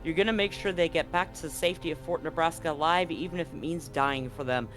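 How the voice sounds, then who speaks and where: A man's voice: a little high, steady tone, fast talker, a bit bright, soft background music. A man as game master of a tabletop roleplaying game, explaining rules and recapping in a chatty way with frequent ums.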